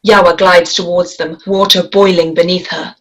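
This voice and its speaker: A woman reading a screenplay aloud in a measured, descriptive way, giving action lines and scene headings. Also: on the low side, flat delivery, rough recording. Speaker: script reader. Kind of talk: screenplay table read.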